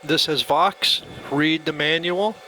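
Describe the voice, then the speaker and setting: A man's voice: on the high side, takes it slowly. A man giving a casual, plainspoken step-by-step tutorial as he demonstrates a device. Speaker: product demonstrator. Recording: faint background noise.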